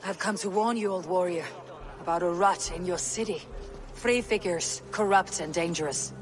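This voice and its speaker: An actress playing a loyal, blunt Viking warrior woman in a video game, measured, stern and commanding. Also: fairly low pitch, natural voice. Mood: grave, resolute. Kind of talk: video game cutscene acting.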